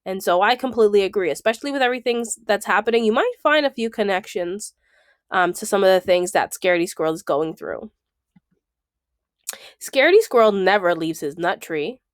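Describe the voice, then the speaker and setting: A woman's voice: medium pitch, animated, brisk, no echo, clean studio sound. A woman reading a children's book aloud, enunciating item by item and adding explanatory asides.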